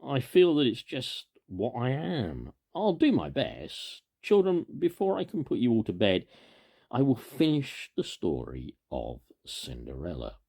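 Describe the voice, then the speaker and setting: A man voicing a sweet, motherly little girl from a storybook in a gentle, earnest, expressive way. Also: medium pitch, unhurried, vocal fry, husky, voice pushed up, heavily acted.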